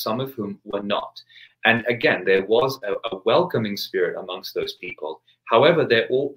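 A man's voice: lowish voice, fairly bright tone, rough recording. A man in his thirties from England, a priest giving a careful interview answer over a video call, hesitating and restarting often. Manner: measured, many restarts and hesitations. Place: home study with bookshelves, video call.

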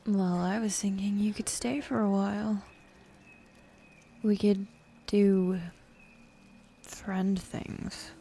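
Slow, breathy, bossy demon woman, acted by a woman. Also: medium pitch, dark tone. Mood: sardonic. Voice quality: breathy, husky. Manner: teasing, sardonic, drawling. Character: bossy, teasing young demon woman, sly and dominant. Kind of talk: ASMR roleplay, intimate and half-whispered.

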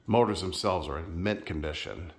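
A man filming a casual home vlog in his sunroom, a little nasal and stuffed up from a sinus infection, talking in a relaxed, rambling, friendly way. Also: low-pitched, moderate pace. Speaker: ceiling fan collector vlogger. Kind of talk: casual show-and-tell vlog.

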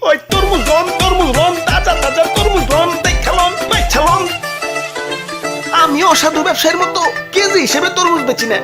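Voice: very high voice, normal speed. An actor voicing a pushy, crafty male street vendor in a comic cartoon, with sing-song hawking and brusque haggling. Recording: music behind the voice.

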